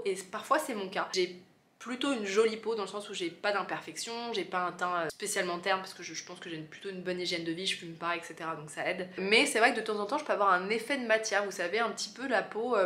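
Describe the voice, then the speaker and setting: A chatty woman, stuffy from a cold, talks to the camera in a loose, rambling way full of asides. Her voice sits at a medium pitch and carries a roomy echo off the walls of her office. A faint hum of ambience is heard behind her. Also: fast talker, pushed and bright. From France. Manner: chatty, many asides and fillers. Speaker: beauty vlogger. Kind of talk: casual chat to camera.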